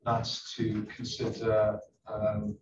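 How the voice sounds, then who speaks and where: Low-voiced man sorting meeting dates. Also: monotone, slow pace, muffled recording. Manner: hesitant, checking details. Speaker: committee secretary. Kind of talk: meeting business. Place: large meeting room. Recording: echoey room from far off, noisy.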